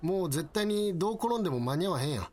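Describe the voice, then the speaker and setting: A lovestruck salaryman in a comic radio drama. His fairly high voice carries a Kansai accent, with a grandly earnest, awkward delivery. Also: lively intonation, fast talker, darkish tone. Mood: matter-of-fact. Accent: Kansai Japanese. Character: earnest lovestruck salaryman regular at a tea shop. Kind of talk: comedic radio drama acting with dramatic monologue.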